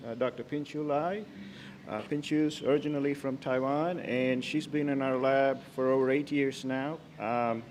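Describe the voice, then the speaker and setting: A man of middling pitch pays tribute to his student at a graduation ceremony. He speaks in a measured way and pauses now and then on a filler. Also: darkish tone, slight background noise. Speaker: faculty advisor. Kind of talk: ceremony introduction speech.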